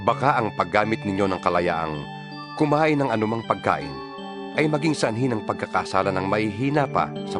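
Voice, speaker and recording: A man playing an earnest apostle in a dramatized audio Bible, speaking in an emphatic, oratorical, rhetorical way. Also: lowish voice. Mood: earnest, admonishing. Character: impassioned male apostle preaching and defending himself. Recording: music under the voice. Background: background music.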